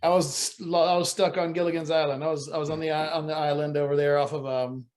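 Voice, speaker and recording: Rambling co-host, talks fast, voice a bit high, casual chat. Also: male voice, flat delivery, a little mellow. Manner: rambling, lots of restarts and "you know".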